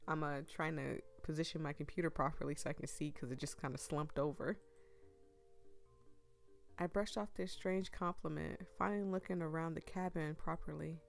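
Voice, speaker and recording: A woman streamer reading a visual novel aloud, with gushing, giggly asides and a voice that is going hoarse. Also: low voice, steady tone, moderate pace, soft background music. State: losing her voice. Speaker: gameplay streamer.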